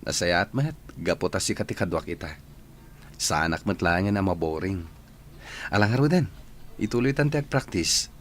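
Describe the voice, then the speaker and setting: A man acting as an earnest young village suitor with a slightly low voice. He sounds sincere and patient, in Ilocano radio drama style, with faint background noise. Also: expressive, moderate pace, pauses often. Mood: encouraging.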